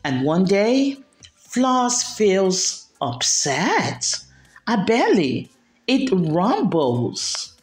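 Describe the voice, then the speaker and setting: Slow, very animated woman storyteller. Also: mid-range voice. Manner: expressive, deliberate enunciation for young listeners. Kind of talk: children's picture book read-aloud. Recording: roomy echo, background music. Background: music.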